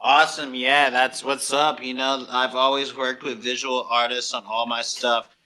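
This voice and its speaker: A man's voice: mid-range voice, flat delivery, moderate pace, bright, forceful tone, poor audio. A man hosting a live online radio show in a conversational interview style. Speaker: podcast host.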